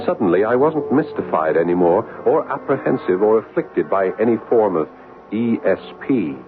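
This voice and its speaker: An actor playing a troubled, wary, stubborn grown son, earnest and dramatic in the manner of old-time radio drama. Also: male voice, lowish voice, lively intonation, normal speed, close to an everyday voice. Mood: tense narration. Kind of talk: old-time radio drama acting and first-person narration. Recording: tinny old radio broadcast, music behind. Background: music.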